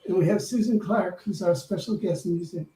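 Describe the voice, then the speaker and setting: A man's voice: high voice, normal speed, mellow. A man welcoming people informally as a reverend hosting a service. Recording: echoey room, distant mic, faint noise.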